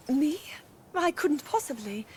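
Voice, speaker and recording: A woman's voice: high-pitched, lively intonation, takes it slowly, a little hiss or hum. A woman playing an earnest, polite and modest young princess in a video game cutscene. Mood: modest, hesitant.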